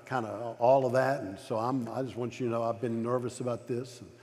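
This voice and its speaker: A man's voice: medium pitch, steady tone, fairly quick, darkish tone. A middle-aged man preaching a sermon at a pulpit in a large church auditorium, talking with a stutter, frequent repeats and restarts. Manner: gestures broadly at a pulpit. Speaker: pastor. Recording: long reverb of a large hall.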